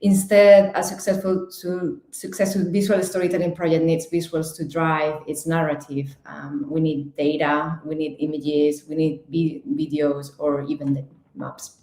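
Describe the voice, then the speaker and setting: A woman's voice: low voice, measured pace, few pauses, roomy sound, low-quality recording. A young woman with a Spanish accent giving a chatty, slightly nervous talk as a guest speaker. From Spain. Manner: chatty, nervous, lively with fillers and restarts. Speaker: guest speaker, digital designer. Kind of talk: online talk presentation.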